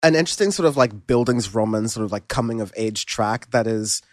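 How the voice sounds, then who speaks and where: A man hosting a music podcast in casual chat, relaxed and rambling with lots of fillers and restarts. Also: lowish voice, a little fast, dry recording, clean studio sound. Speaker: podcast host.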